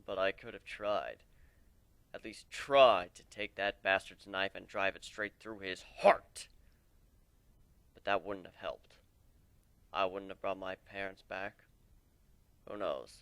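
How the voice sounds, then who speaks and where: Man acting a world-weary lone warrior, lowered voice, grave, measured, dry sound, dramatic story reading. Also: fairly even intonation, a bit bright, smooth, no room sound, heavily acted. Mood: bitter regret.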